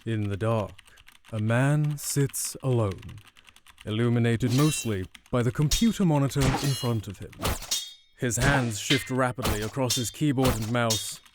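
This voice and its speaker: A man narrating a scripted fantasy audio drama in a measured, dramatic storyteller manner. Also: medium pitch, noisy background. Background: music.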